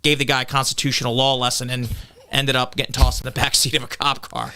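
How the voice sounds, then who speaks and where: Defensive candidate guest: man with a loud, clear, brisk voice. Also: mid-range voice, level tone. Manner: combative, argumentative, lectures on legal details. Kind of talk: defensive podcast interview.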